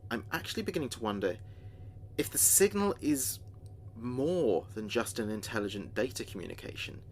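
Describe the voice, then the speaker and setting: A man playing an earnest space communications officer, recording logs in a measured, thoughtful way for a sci-fi audio drama. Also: medium pitch, slight background noise. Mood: intrigued. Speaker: communications officer keeping a mission log. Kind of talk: audio drama log narration.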